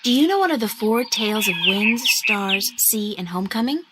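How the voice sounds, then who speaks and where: A woman's voice: medium pitch, lively intonation, moderate pace, close to an everyday voice. Woman doing a determined young adventurer, polite and plain, noisy game audio. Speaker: game protagonist. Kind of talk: video game dialogue. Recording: loud background noise.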